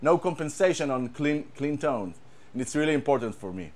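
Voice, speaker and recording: A man with an Israeli accent chats about his guitar in a casual, halting way, repeating words and filling pauses. His voice sits a little high. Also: lively intonation. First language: Hebrew. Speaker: guitar tech. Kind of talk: casual show-and-tell chat.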